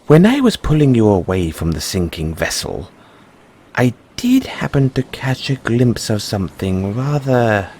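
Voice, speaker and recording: Man playing a low-voiced, expressive merman prince. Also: slight background noise, exaggerated voice. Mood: reassuring, concerned. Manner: formal, deliberate, measured phrasing with dramatic pauses. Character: proud, secretive merman prince, courteous but wary of humans. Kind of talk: scripted audio roleplay addressed to the listener.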